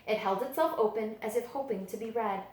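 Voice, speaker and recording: A woman reading a picture book aloud to children as a librarian, in expressive, deliberate storytelling narration with drawn-out emphasis. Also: on the high side, roomy sound, low-quality recording.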